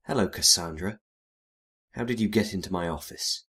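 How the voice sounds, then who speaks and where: Man playing a low, husky hardboiled detective. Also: moderate pace, full character voice. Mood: wary, sardonic. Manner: dry, world-weary, deadpan. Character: wry, hardboiled 1920s male private detective. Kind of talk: first-person noir detective narration and dialogue.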